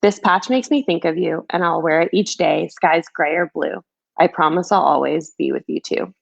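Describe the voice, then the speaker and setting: Low-voiced woman with a creaky edge. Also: normal speed. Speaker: children's book author reading own book. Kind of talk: storybook read-aloud, rhyming, then casual chat.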